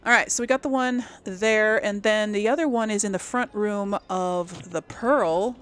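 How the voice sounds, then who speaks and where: A woman's voice: medium pitch, a little slow, fairly bright tone, clear, resonant tone. A woman giving casual let's play commentary as host of a gaming video, chatty and thinking aloud as she goes.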